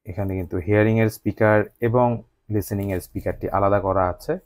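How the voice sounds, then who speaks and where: A man's voice: lowish voice, steady tone, fast talker, darkish tone, no room sound. A man with a Bangladeshi accent narrating a scripted product unboxing review as the channel's host.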